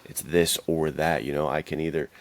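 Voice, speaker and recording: A man's voice: rumbling low, steady tone, moderate pace. A man hosting a podcast, chatting casually in an earnest, reflective and informal manner. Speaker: podcast host, adventure fiction author. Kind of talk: casual chat about writing and self-discipline.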